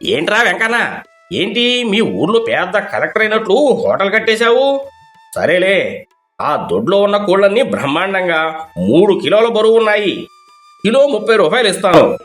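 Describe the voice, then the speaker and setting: A man's voice: sits high, very animated, normal speed, a bit bright, soft background music. A man voicing a cocky, grasping poultry middleman with a brash, mocking manner in a moral story.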